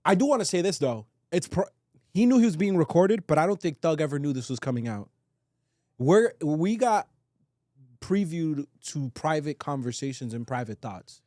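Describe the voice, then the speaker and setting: A man's voice: a little high, lively intonation, moderate pace, warm tone, no room sound, studio quality. A man talking casually and with opinions as a podcast co-host, slangy and emphatic, swearing and repeating phrases for weight.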